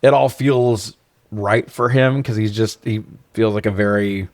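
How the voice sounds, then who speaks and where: A man's voice: lowish voice, fairly even intonation, measured pace, no room sound. A man co-hosting a podcast, chatting casually and bluntly about films with plenty of swearing. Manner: profane, blunt. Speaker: podcast co-host. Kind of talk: casual film review chat.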